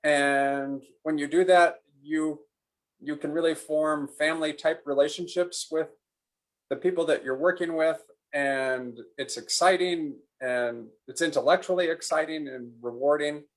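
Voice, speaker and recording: A man's voice: a little high, fairly even intonation, unhurried, rough recording. A man on a psychology webinar panel, speaking steadily with fillers.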